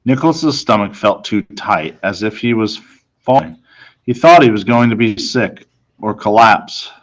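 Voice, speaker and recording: A man narrating a children's novel as a reader, in a measured, suspenseful storytelling manner. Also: fairly low pitch, expressive.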